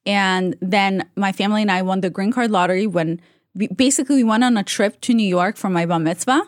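A woman's voice: medium pitch, fairly quick, dry recording, studio quality. A young adult woman born in Russia and raised in Israel, telling her story as a podcast guest in a candid, chatty way. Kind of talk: casual interview chat.

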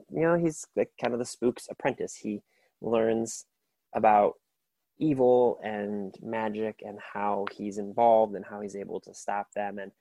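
A man's voice: on the low side, vocal fry, a little mellow, no echo, low-quality recording. A man hosting a book podcast alone, talking casually and enthusiastically, with many fillers and restarts. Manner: rambling, filler-heavy, enthusiastic. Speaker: podcast host. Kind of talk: casual solo book recommendations.

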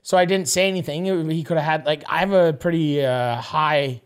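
A man whose voice sits high, telling a story in loose podcast chat. He rambles, repeats himself and circles back to his point. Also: brisk, smooth. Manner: rambling, repeats words as he goes. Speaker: podcast co-host.

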